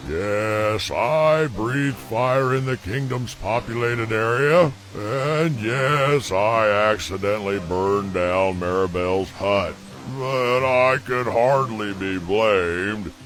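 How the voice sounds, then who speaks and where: A man voicing a proud, brooding exiled dragon in an animated film, speaking in a dry, grandiose, theatrical way. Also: fairly low pitch, takes it slowly, hardly any pauses. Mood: bitter, aggrieved. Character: banished male dragon, gruff, brooding, proud of his might.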